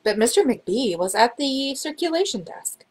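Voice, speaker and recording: A woman reading a children's storybook aloud in an expressive, dramatic storytelling manner. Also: high voice, moderate pace. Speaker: children's book reader. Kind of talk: storybook narration, read aloud.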